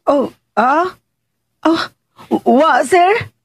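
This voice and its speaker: An actress playing an excitable, flustered young female household helper in a radio drama, exclaiming and whining. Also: sits high, drawn-out words, exaggerated voice. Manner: shrieking, exclamatory, whiny. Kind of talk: broad comic radio drama acting.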